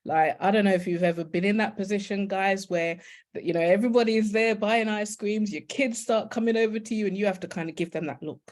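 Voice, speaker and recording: A woman's voice: mid-range voice, a little fast, a little mellow. A British woman teaching as a guest, chatting informally about her own story and often addressing her listeners directly. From United Kingdom. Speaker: guest e-commerce educator. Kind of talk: informal personal storytelling to an online audience.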